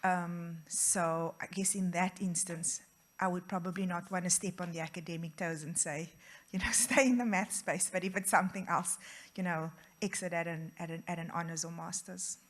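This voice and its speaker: Low-pitched woman, panel guest. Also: steady tone, normal speed. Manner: thoughtful, hesitant with many fillers. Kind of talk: panel discussion answer. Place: event venue.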